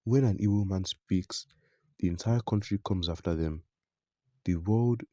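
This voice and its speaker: A man reads a news report in a low, mellow voice. His delivery is steady and scripted, recorded dry and close with no room sound. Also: dry recording. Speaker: news narrator. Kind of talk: scripted news narration, reading out quotes and comments.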